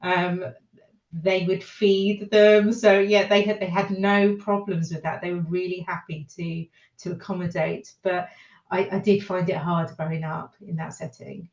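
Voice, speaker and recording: British woman, slightly low voice, reflective, hesitant, personal storytelling, echoey and dull lo-fi recording. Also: flat delivery, a little slow, darkish tone. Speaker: podcast guest. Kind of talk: personal storytelling in a panel discussion.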